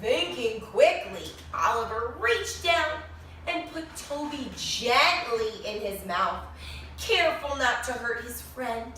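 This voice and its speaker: A woman reading a children's storybook aloud expressively, with dramatic pauses and questions to her young listeners. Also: fairly high pitch, animated, bright, forceful tone. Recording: echoey room, distant mic, faint noise.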